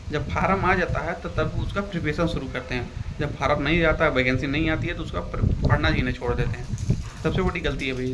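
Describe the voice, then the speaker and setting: A young man gives casual, rambling, repetitive advice to his viewers as a vlogger, often addressing them as brother. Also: fairly high pitch. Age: young adult. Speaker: vlogger, police recruit. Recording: clear ambient background noise.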